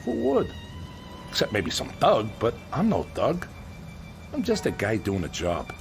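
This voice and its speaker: Lively, blunt American working man. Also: mid-range voice, unhurried, creaky, faint music behind, exaggerated voice. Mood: earnest, explaining. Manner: colloquial, ungrammatical working-man speech, earnest and insistent. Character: gruff working-class demolition contractor, blunt but kind-hearted. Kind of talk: radio drama acting.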